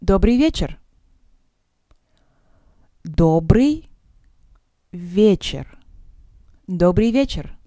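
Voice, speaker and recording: A woman's voice: mid-range voice, expressive, drawn-out words, long pauses, dark tone, no echo, clean studio sound. A woman teaching vocabulary in a drill, saying each phrase with careful enunciation, then breaking it up word by word and repeating it. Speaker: language teacher.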